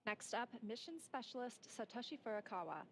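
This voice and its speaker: A woman's voice: on the high side, lively intonation, a little fast, a bit bright, slight background noise. A woman presenting a broadcast segment in an upbeat, polished, scripted way. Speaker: segment host.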